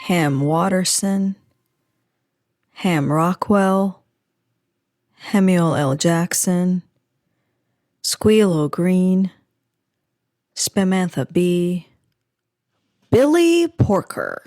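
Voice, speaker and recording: A woman playing a dry, sardonic female agent in a comedic audio drama, with a deadpan delivery. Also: lowish voice, very slow, pauses often, no echo. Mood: wearily sardonic.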